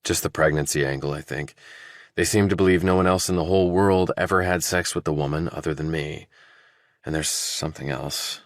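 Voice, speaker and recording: A man's voice: a bass voice, fairly even intonation, a little fast, no room sound, clean studio sound. A man voicing a defensive, desperate young murder suspect in an audiobook, earnest, blunt and insistent. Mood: guarded, frustrated. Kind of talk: audiobook character dialogue.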